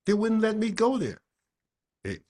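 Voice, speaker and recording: A man's voice: sits high, sing-song, unhurried, warm tone. A man in his seventies with Japanese as his first language, giving a dharma talk and answering questions, emphatic, repeating words and checking in with "okay?". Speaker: Buddhist teacher.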